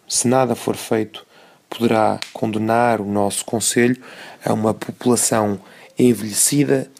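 A man with a European Portuguese accent reads a scripted opinion commentary in formal, measured, short phrases. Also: mid-range voice, vocal fry, a little mellow.

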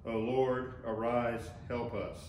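A man's voice: mid-range voice, steady tone, takes it slowly, darkish tone. An older man giving formal liturgical responses as an assisting minister in church. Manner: formal recitation. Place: inside a church sanctuary by the altar. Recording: roomy, distant mic with faint noise.

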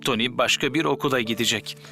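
A voice actor playing a friendly, upbeat dad who takes photographs, in a dubbed children's show. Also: male voice, medium pitch, quick pace, vocal fry, sharp tone, faint music behind. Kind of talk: children's television drama dubbing.

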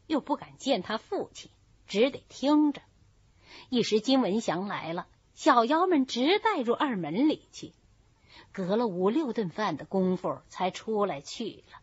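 A woman reading a classical novel aloud as an audiobook, with measured, expressive storytelling. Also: fairly high pitch, slightly rough, dry recording. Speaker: audiobook reader. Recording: dull lo-fi recording.

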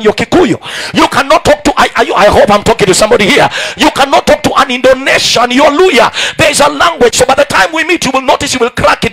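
A young Kenyan man preaching a sermon in a large church hall on a handheld mic, calling out to the congregation and rising into shouts. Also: very high voice. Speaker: pastor. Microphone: handheld microphone.

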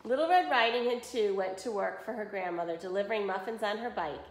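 A woman's voice: medium pitch, fairly quick. Woman teacher in a roomy space. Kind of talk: read-aloud and casual lesson for children. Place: small indoor room at home. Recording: roomy home video recording.

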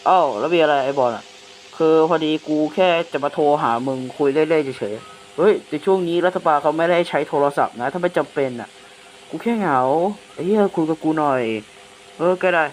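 A man's voice: on the high side, a little fast, lightly acted. Man acting a sweary young guy, noisy recording. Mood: casual, bored. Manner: blunt, sweary, informal. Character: crude, jumpy young man on a casual phone call. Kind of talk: casual slangy horror skit dialogue. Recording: faintly noisy hissing recording.

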